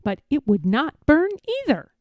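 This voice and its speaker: Lively, high-voiced woman reading a children's story. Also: measured pace, no room sound. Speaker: storybook reader. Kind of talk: expressive read-aloud of a children's story.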